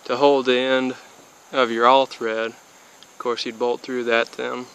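A man's voice: medium pitch, slow pace, smooth, rough recording. A young man in his twenties giving a casual, rambling how-to explanation outdoors, full of fillers and restarts, a little spacey from being sick. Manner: rambling, many fillers and restarts, flat delivery. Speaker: DIY hobbyist. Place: outdoors by a car, then under a metal-roofed shed.

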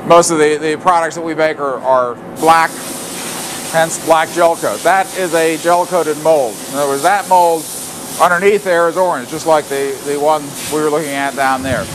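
Male factory guide, slightly high voice, plain explanatory delivery, recorded on a loud shop floor. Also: fairly even intonation, moderate pace. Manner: plain explanatory, with frequent fillers and restarts. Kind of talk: walking tour narration. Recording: factory noise behind voice.

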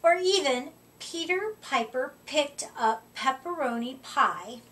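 A middle-aged woman reading a rhyming picture book aloud to children, with deliberate, expressive emphasis, recorded a short way from the mic. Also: high voice. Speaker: children's storytime reader. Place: indoor room, seated before a curtain. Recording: voice distant from the microphone.

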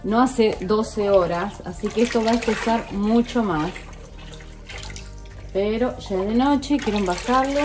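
A woman's voice: mid-range voice, measured pace. A woman, casually showing viewers how to make liquid soap in her home kitchen while she works. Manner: chatty, addresses viewers directly, working while talking. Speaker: vlogger. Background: background music.

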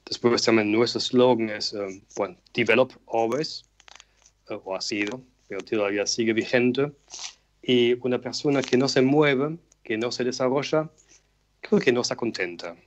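A middle-aged man from Germany answers a radio interviewer in Spanish with a clear German accent. He speaks carefully and fills his pauses with soft "em" sounds. His voice comes through a somewhat dull remote line with a faint hiss behind it. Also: mid-range voice. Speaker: guest, regional director.

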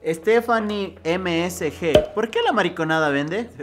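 A man with an Ecuadorian accent reading out viewers' names and questions in a brisk rapid-fire Q&A. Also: high voice, heavy background noise. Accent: Ecuadorian Spanish. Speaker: question reader. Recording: loud background music.

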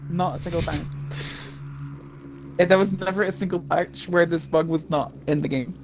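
A man streaming a game and chatting casually with a friend, excitable and quick to swear. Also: a little high, slight background noise, poor audio. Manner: animated, excitable, swears freely. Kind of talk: casual gaming chat.

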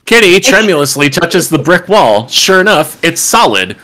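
Man, lively and bright, reads stage directions with sarcastic asides. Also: fairly high pitch, normal speed. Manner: animated, sarcastic. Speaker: table-read host reading stage directions. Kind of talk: reading a film script aloud with joking asides. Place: home room, indoors, video call.

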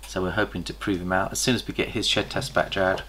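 A man's voice: low voice, little pitch movement, pushed and bright. A middle-aged man, hosting a chatty snake-breeding vlog from an indoor reptile room. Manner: chatty, enthusiastic, pauses to recall details. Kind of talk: casual show-and-tell vlog. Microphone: camera across a table.

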